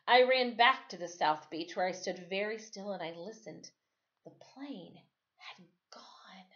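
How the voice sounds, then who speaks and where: A woman reading a story aloud in an expressive, dramatic storytelling way, like a teacher. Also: sits high. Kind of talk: read-aloud storytelling with casual asides. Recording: dull, lo-fi sound.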